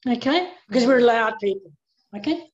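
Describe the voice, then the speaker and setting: High-voiced religious sister, emphatic and animated. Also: female voice, moderate pace. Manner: emphatic and animated, acts out conversations. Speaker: pastoral carer and religious sister. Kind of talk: panel discussion. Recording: echoey dull-sounding room.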